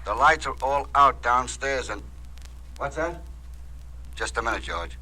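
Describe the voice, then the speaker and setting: A cold, businesslike criminal go-between in an old radio drama. His voice sits at a middle pitch with a very hard, bright edge. He gives orders with no warmth. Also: expressive, a little slow, exaggerated voice, low-quality recording. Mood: cool, businesslike. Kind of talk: 1950s-style radio play acting.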